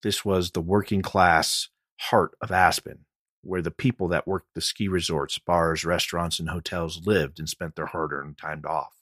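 A man's voice: low-pitched, steady tone, measured pace, fairly bright tone, no room sound, studio quality. A man reading a scripted mystery audiobook as its author and narrator.

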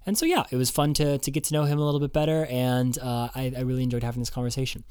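A man's voice: mid-range voice, fairly even intonation, very fast, a little mellow. A man hosting a podcast, introducing his guest in a loose, casual way full of fillers. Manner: casual, hedging with many fillers. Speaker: podcast host.

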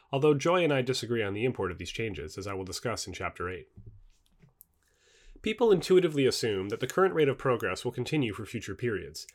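A man reading a nonfiction book aloud as scripted narration. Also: medium pitch.

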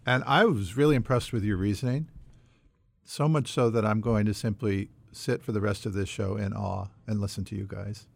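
A man's voice: lowish voice, fairly even intonation, moderate pace, gravelly, no room sound. A man hosting a conversational quiz segment on a podcast. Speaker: podcast co-host running a quiz.

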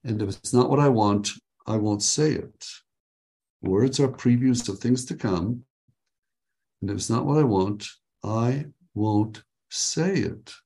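A man coaching a group over a video call from his home. His voice sits at an ordinary pitch, upbeat and joking, and he slows right down when he dictates phrases for people to write. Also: dark tone. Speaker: workshop leader.

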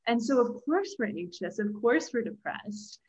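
A young woman guest on a podcast, speaking in a flat, thoughtful, casual way with lots of "like". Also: on the high side, moderate pace, breathy edge, muffled recording. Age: young adult. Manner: monotone, thoughtful, says "like" often. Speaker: guest, economics content creator. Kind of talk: casual interview chat.

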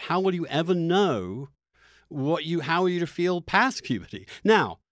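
A man hosting a discussion, putting arguments and questions in a deliberate, halting way as he searches for words. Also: medium pitch, no room sound, clean studio sound. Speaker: host interviewer.

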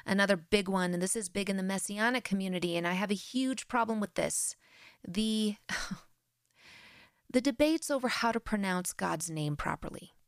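An American woman hosting a faith podcast, talking directly and earnestly with lively emphasis. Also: medium pitch, moderate pace, clean tone, no room sound, studio quality. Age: adult with grown-past-baby children. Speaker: podcast host. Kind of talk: solo faith podcast talk.

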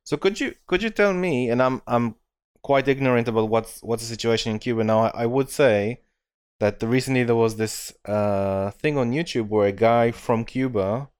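A man's voice: mid-range voice, normal speed, a little mellow, smooth, dry recording, clean studio sound. A man hosting a podcast conversation, hesitant with many fillers as he asks his guest questions. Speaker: podcast host. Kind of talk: casual interview conversation.

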